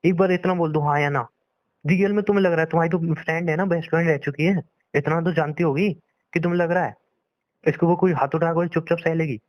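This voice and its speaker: A man's voice: on the high side, steady tone, fast talker, no echo, dull audio. A young man arguing in a private phone conversation, insistent and pleading, often repeating himself. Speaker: boyfriend on a phone call.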